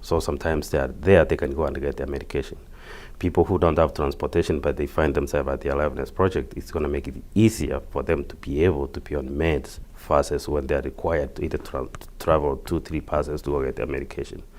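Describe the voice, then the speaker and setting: A man with a Kenyan accent explaining things as an interview guest, haltingly and repeating words. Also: a bass voice, steady tone, darkish tone. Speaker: interview guest, community health project worker.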